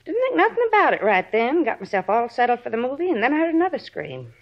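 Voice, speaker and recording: An actress playing a suspicious, bossy, chatty neighbor in a 1950s radio drama, with brusque, folksy, ungrammatical speech. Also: female voice, on the high side, wildly swooping pitch, fast talker, heavily acted. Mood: chatty, recounting. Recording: muffled old radio recording.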